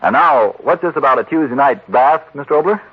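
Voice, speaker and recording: Husky, lively American radio announcer, vintage broadcast. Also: male voice, medium pitch, a little fast, highs cut off. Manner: polished, persuasive sales delivery. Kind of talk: 1940s radio sponsor announcing, scripted.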